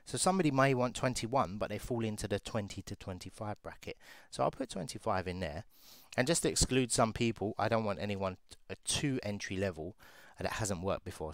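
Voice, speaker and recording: A young man, a recruiter, casually talking through a software demo and thinking aloud as he clicks. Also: fairly low pitch, dry recording, studio quality. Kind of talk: screen-share software walkthrough.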